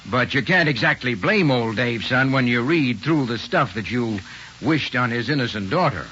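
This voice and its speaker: Bright-voiced, folksy American father, old radio. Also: medium pitch, expressive. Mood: gently amused. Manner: measured, folksy, gently amused. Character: kindly, wry middle-aged small-town American father. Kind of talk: 1950s radio drama acting. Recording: muffled old radio broadcast, faint noise.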